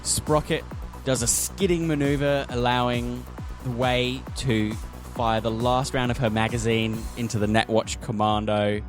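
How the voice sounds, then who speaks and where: A man's voice: medium pitch, fairly even intonation, a little slow. A man acting as game master, narrating a tabletop role-playing game and calling out the action. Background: prominent music.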